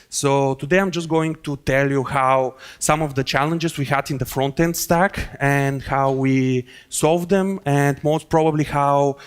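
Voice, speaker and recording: A man with a Bulgarian accent presents a technical talk at a conference. He explains in a loose, rambling way, full of fillers and restarts. His voice sits at a middle pitch. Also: smooth. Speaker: conference speaker. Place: conference hall.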